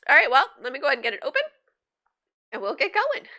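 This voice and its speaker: A woman's voice: high-pitched, sing-song, fast talker, bright, forceful tone. A young woman streaming a game while sick, sniffling, chatty and upbeat, thinking aloud and laughing often. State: sick with a stuffy nose, sniffling. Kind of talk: casual gaming livestream chat.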